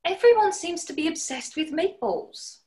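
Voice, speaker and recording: Plucky English maid, played by a woman, high and very animated, polite, chirpy, 1940s radio comedy. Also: moderate pace. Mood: bemused. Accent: British. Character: plucky, cheerful, polite young English housemaid.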